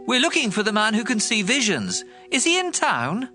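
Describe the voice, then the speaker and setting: An actor playing an eager, helpful male servant, earnest and excitable, in dramatized scripture. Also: sits high, normal speed, soft background music, full character voice. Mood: inquiring.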